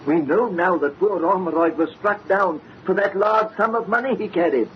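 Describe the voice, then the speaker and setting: A man's voice: high-pitched, moderate pace, close to an everyday voice. An actor playing a grave, sceptical man of the law in an old-time radio mystery, formal and patronising. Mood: grave, dismissive. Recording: muffled, hissy old radio recording.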